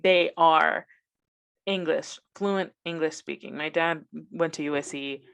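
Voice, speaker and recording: Woman, Spanish first language, low voice, chatty co-host telling stories fast. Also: creaky, fairly bright tone, smooth. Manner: chatty, storytelling, quick bursts. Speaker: podcast co-host. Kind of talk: casual chat.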